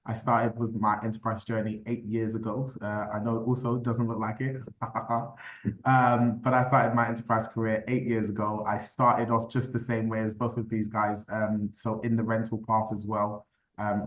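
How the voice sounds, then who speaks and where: A man working in talent development, introducing himself in a measured, modest way. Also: on the low side, little pitch movement, rough recording.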